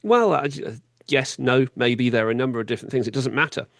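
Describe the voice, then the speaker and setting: A man's voice: mid-range voice, lively intonation, a little fast, vocal fry, a bit bright, dry recording, studio quality. A British man hosting a podcast interview, talking conversationally and reflectively, building points through repetition and questions.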